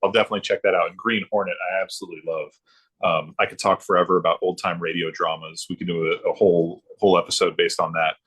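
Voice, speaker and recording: A middle-aged man chatting casually about his hobby as a podcast guest, haltingly, often circling back and repeating himself. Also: deep voice, little pitch movement, a bit bright, slightly rough. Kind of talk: casual chat about comic books.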